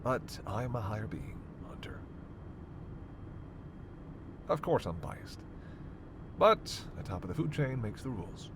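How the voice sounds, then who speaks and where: A man's voice: on the low side, sing-song, moderate pace, a bit bright. Man acting snarky vampire over road noise. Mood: smug, defensive. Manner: sarcastic, needling, theatrically exasperated, foul-mouthed. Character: snarky, teasing immortal male vampire passenger. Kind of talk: one-sided roleplay audio drama.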